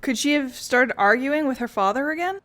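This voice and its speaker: A woman's voice: high-pitched, lively intonation, fairly quick, a bit bright. A woman streaming a game, reading dialogue aloud and commenting casually.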